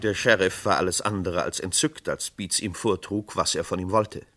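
Low-voiced measured radio drama narrator. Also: male voice, steady tone, pushed and bright, a little hiss or hum. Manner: measured, matter-of-fact.

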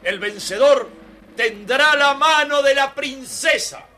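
A man playing a royal herald, loudly proclaiming a decree in drawn-out declamatory phrases. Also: extremely high pitch, full character voice.

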